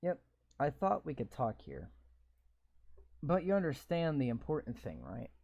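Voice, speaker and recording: A man voicing a young woman who can teleport, read aloud flatly with little acting. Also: mid-range voice, measured pace, gravelly, slightly rough, dull audio, full character voice. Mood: calm.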